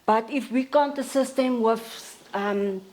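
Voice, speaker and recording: A South African woman in her forties, a counselor talking as a podcast guest in a steady, matter-of-fact way with frequent pauses. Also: on the high side. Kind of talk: casual interview talk.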